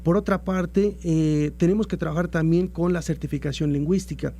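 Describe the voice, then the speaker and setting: This is a Mexican man with a rather high but mellow voice. He explains institutional plans formally and earnestly, circling back over his phrases as a guest in an interview. A faint hum sits behind him. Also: fairly even intonation, moderate pace. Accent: Mexican Spanish.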